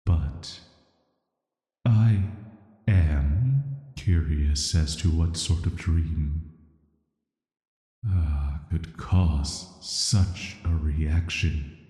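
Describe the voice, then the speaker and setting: A man playing an ancient banished villain, sealed away and softly menacing, speaking in a flat, hesitant, measured way for an atmospheric sleep-aid audio roleplay. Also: a bass voice, full character voice. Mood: pensive, curious. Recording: roomy echo with long reverb.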